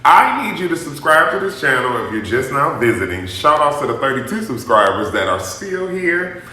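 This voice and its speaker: A man telling a personal story to his viewers in a sassy, dramatic, animated vlogging style. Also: mid-range voice, moderate pace. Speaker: YouTube vlogger. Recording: very echoey, roomy sound.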